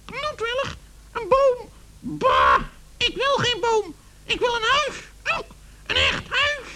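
A man's voice: extremely high pitch, wildly swooping pitch, slow pace, exaggerated voice. An actor playing a demanding little male seahorse in a children's radio play, whiny, bossy and curt. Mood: stubborn, bossy. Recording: noisy old radio recording.

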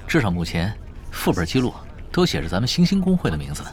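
A man's voice: fairly low pitch, wildly swooping pitch, fast talker, darkish tone. An actor playing a laid-back, teasing young male gamer in a Chinese audio drama, with a casual, drawling delivery. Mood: casual, musing.

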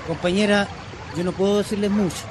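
A man's voice: high-pitched, fairly even intonation, fairly quick, close to an everyday voice. A man playing an earnest Chilean community leader in a radio drama, exhorting and pleading like an orator at an assembly. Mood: rallying. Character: earnest male community leader of poor shantytown dwellers. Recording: noisy recording with crowd clamour behind.

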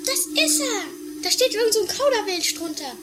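A woman's voice: very high-pitched, lively intonation, a little fast, heavy background noise. A woman playing a cheery, smug know-all adventurer in a comedy radio play, with a theatrical sing-song delivery. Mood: curious.

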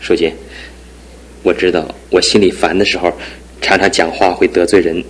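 Actor as a brooding young groom, radio drama, lowish voice, touchy and self-pitying. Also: male voice, level tone, normal speed, close to an everyday voice, low-quality recording. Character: young groom, bitter about his lame leg. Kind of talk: 1970s radio drama acting.

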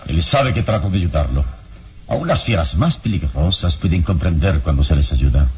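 A calm, deep-voiced heroic strongman. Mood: reassuring. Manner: soothing, steady and measured. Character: calm, heroic strongman who soothes wild beasts. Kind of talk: 1960s radio drama acting.

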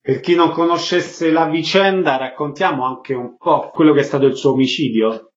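A man's voice: a little high, moderate pace, breathy edge, highs cut off. A man presenting and interviewing on radio in a scripted announcing style. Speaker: radio host.

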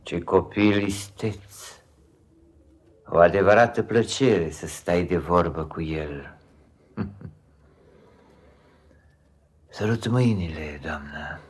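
An actor playing a courtly, falsely friendly gentleman suitor in a 1950s Romanian radio play, husky and unctuous. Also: low voice. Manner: unctuous, formal, gallant.

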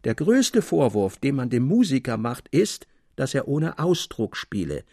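A man's voice: mid-range voice, sing-song, a little slow, darkish tone, husky, no room sound, studio quality, heavily acted. A man reading the part of an earnest, indignant music lover in a literary tale, with exclamatory, rhetorical phrasing. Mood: indignant, impassioned. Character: Ludwig, earnest, indignant young Romantic man passionate about music.

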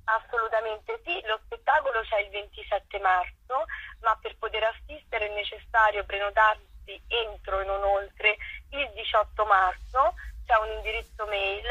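A woman speaks as a theatre director being interviewed, explaining things earnestly and in detail. Her voice is bright and forward with a husky grain. The sound is dull and lo-fi, like a phone-in. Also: medium pitch, no echo.

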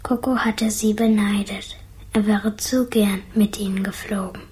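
A hushed young girl in a radio play played by a female actor, reading to herself. The voice is whispery and breathy, slow and musing. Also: medium pitch, steady tone, natural voice. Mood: absorbed. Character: young girl Kiki reading a letter, pondering it.

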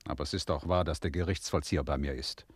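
Poor proud farmer dad, radio drama, low raspy voice, bitter n stubborn. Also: male voice, fast, no echo. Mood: downcast. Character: proud, aggrieved, penniless peasant father. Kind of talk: German radio drama acting.

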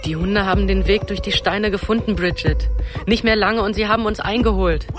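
A man's voice: high voice, a little fast, lightly acted. An actor playing a cautious, protective young boy in a radio play, earnest and urgent. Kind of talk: German radio play acting. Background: music.

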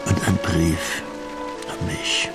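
A man playing a brooding gentleman who mutters tersely to himself over papers in a radio drama. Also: takes it slowly, natural voice. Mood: curious muttering. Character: brooding gentleman reading old papers alone. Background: loud music, rain.

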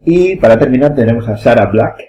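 A man's voice: medium pitch, lively intonation, fairly quick, warm tone, dull audio. A man with an accent from Spain, hosting a casual group podcast conversation. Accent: Spanish, from Spain. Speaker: podcast host and director.

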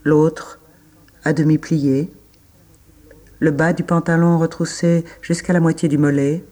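A man reciting literary prose for a radio play, measured, pausing between short phrases. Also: a little high, a little mellow. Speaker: reader.

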